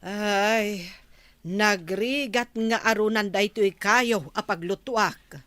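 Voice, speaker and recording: An actress playing a nagging, thrifty village housewife and aunt in a Filipino radio drama. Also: female voice, medium pitch, slow pace, fairly bright tone, no echo. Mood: grumbling.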